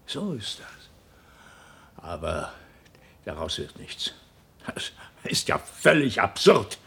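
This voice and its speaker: An actor in a German radio drama playing a choleric, bitter middle-aged husband and company boss, speaking haltingly and with volatile feeling. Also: male voice, on the low side, wildly swooping pitch, gravelly, fairly bright tone, a little hiss or hum, full character voice. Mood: indignant. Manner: stammering, emotionally volatile.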